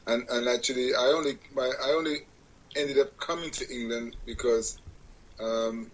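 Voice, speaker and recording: A man's voice: mid-range voice, normal speed, rough recording. A man from Jamaica, a guest on a radio show, telling his life story in a rambling way, correcting himself and laughing at his own jokes. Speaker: guest musician. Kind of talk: casual interview conversation.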